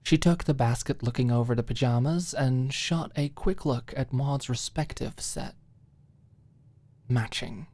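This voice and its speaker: A woman's voice: extremely low pitch, a little slow, warm tone, no echo. A woman reading a scripted story as an ASMR audiobook narrator.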